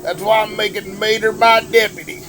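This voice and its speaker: A man voicing a stern male sheriff car in a storybook, measured and plain. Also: high-pitched, flat delivery, bright, forceful tone, slight background noise. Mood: firm.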